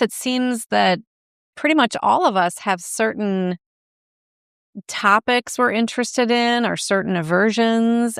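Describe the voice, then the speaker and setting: Female interviewer, measured questions, resonant clear tone, no room echo. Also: medium pitch, clean studio sound.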